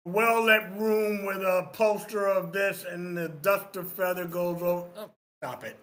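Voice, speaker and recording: A man's voice: high voice, fairly even intonation, measured pace, a bit bright. A man in a casual group discussion, speaking in punchy, repeated short phrases. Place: online meeting room. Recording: clear background noise, ambience.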